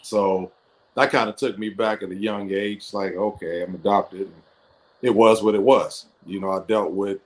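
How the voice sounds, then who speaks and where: Middle-aged Ohio-raised man, lowish voice, reflective storyteller, heard over a video call. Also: steady tone, normal speed. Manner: reflective, with frequent fillers and restarts. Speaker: guest football coach. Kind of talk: personal storytelling in an interview. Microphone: computer or headset microphone on a video call.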